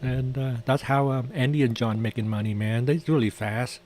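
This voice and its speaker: A man's voice: medium pitch, steady tone, normal speed, darkish tone. A man with doing casual live trading commentary, chatty and jokey, often repeating his phrases. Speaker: day trader hosting a live trading stream.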